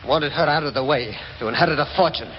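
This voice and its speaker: An actor playing a scheming, irritable gentleman in an old-time radio mystery, terse and edgy. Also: fairly high pitch, quick pace, slight background noise, lightly acted. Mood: angry, accusing. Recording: dull old radio recording with music.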